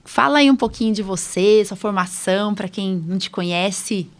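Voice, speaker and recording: A woman's voice: medium pitch, moderate pace, clean tone. A middle-aged woman hosts a podcast in a chatty, informal way, speaking directly to her audience. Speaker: podcast host, allergist doctor. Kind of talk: casual podcast hosting.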